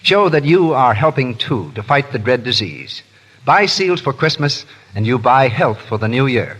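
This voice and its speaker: Male American announcer for vintage 1930s radio. Animated delivery, slightly rough tone, long hall reverb. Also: medium pitch, normal speed.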